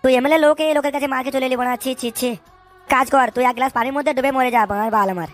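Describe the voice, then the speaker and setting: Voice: sits high, fairly even intonation, racing, faint music behind, natural voice. An actor voicing a bullying, suspicious cartoon political boss, scolding and cursing in street slang. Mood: scornful. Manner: scolding, hectoring, repeats tag questions, curses constantly.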